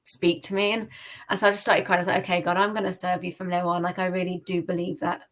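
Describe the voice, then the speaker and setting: A woman's voice: lowish voice, level tone, fast, a bit bright. A woman from the UK with a British accent, sharing personal testimony as a podcast guest in informal, conversational speech peppered with "like".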